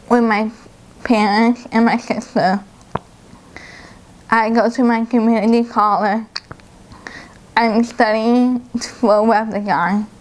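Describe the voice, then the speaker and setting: A woman of about twenty introducing herself in plain, short statements. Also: a little high. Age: 20s. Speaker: college student. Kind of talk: self-introduction to camera.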